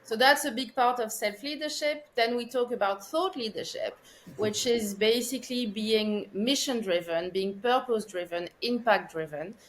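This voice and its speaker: A woman in her forties from France with a French accent, speaking as a podcast guest in a thoughtful, measured way with frequent pauses and fillers. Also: a little high, slight background noise, low-quality recording. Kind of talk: podcast interview.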